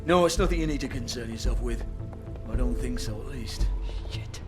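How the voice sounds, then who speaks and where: An actor playing a crude, hostile club owner in a video game, sarcastic and taunting. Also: male voice, medium pitch, moderate pace, music in the background, lightly acted. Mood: mocking, hostile.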